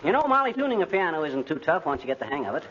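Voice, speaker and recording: A man's voice: fairly high pitch, big pitch swings, rapid-fire, loud music behind the voice. A man playing a boastful, bumbling American husband with folksy, self-assured bluster in 1940s radio comedy. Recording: noisy, muffled vintage radio recording.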